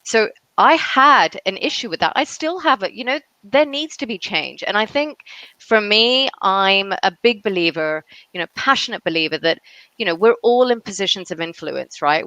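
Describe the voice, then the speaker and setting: A middle-aged woman speaks on a professional panel in a dry, close sound. She makes her points emphatically and punctuates them with "right?" and "you know". Her pitch is average for a woman. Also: sharp tone, no room sound, rough recording. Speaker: conference panelist, travel industry executive. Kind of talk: panel talk about own career and beliefs.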